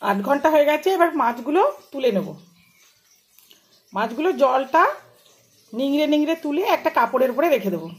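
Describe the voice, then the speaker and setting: Higher-pitched woman narrating recipes. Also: lively intonation, a little fast, long pauses. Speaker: home cook hosting a cooking channel. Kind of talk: casual step-by-step recipe narration.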